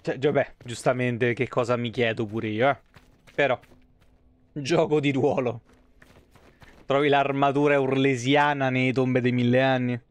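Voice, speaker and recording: Smooth-voiced Roman man streaming a game. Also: mid-range voice, level tone, a little slow, long pauses, no room sound. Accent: Roman Italian. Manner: colloquial, slangy, rambling asides. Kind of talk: casual live game commentary, chatting with viewers.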